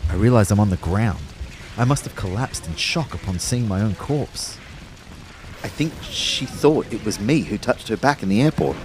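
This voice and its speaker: Audio drama lead: male actor as bewildered dead man telling his own story, lowish pitch, brooding and measured, over rain-like background noise. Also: animated, close to an everyday voice, rough recording. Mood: somber, tense. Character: Kyle, bewildered young male ghost narrating his afterlife. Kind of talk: first-person scripted audio drama narration with dialogue.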